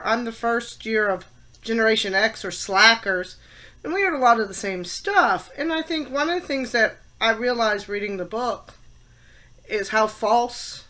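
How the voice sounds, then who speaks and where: A woman in her fifties talks into the camera for a class vlog, her voice stuffed up with a head cold. Her tone is bright and tense and sits a little high. She lands on her words firmly, with pauses and fillers between them. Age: 50s. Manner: opinionated, emphatic, with pauses and fillers. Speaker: college teacher and student.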